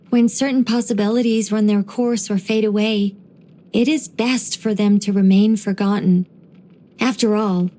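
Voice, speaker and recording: A woman voicing an ancient angel in a video game, dignified yet whimsical and chatty, with a measured, gently playful delivery. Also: mid-range voice, close to an everyday voice. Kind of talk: video game character voice lines.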